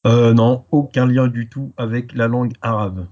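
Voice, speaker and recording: A French man talking through his story in an interview, slow to find his words and filling the gaps with pauses. His voice is dark and mellow, and the muffled, lo-fi recording dulls it further. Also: medium pitch, steady tone. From France. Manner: hesitant, many pauses and fillers. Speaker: interview guest. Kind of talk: casual interview.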